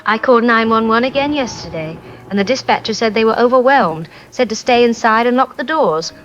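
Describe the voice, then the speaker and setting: A woman's voice: a little high, lively intonation, a bit bright, soft background music. Dull-sounding, frightened woman caller played by an actress. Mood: despairing. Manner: halting, bleak, short phrases. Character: frightened, trapped female eyewitness calling a radio show. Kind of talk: phone-in testimony in an audio drama.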